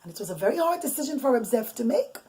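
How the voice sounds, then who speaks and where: Woman, mother, bedtime story, soft, hushed, slightly high voice. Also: sing-song, racing. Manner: soft, often drops to a whisper; explains Hebrew terms as she goes.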